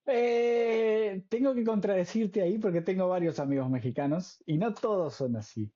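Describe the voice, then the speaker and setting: Dull recording, man, Argentine accent, husky, mellow, informal podcast host, casual questioning. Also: sits high, normal speed. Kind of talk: casual conversational interviewing.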